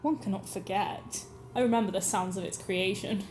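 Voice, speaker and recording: A woman's voice: medium pitch, moderate pace, a little mellow, a little hiss or hum, close to an everyday voice. A young actress playing a grand, whimsical, wilful young woman in a surreal radio play, with airy theatrical delivery. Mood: wistful. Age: young adult.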